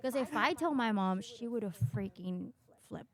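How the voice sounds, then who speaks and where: A woman from Chile talks casually about her life as a podcast guest. Her voice sits fairly high. She is animated and candid, poking fun at herself and swearing now and then. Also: moderate pace. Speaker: podcast guest, doctor in training. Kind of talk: casual personal storytelling.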